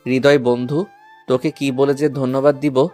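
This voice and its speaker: Lovestruck schoolboy, eager and grateful, acted by a man, mid pitch, light music under an audio drama. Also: level tone, moderate pace, a little mellow, natural voice.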